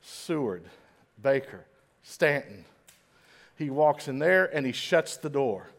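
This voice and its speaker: A man preaching a sermon in a church, dramatic and swinging between loud and hushed, with a slight stutter at times. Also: mid-range voice, expressive, takes it slowly. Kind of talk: sermon storytelling. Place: church stage.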